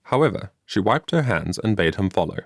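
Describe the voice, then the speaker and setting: A man reading a moral story as an audiobook narrator, in a measured, scripted storytelling manner. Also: fairly low pitch, big pitch swings, creaky, no echo, studio quality.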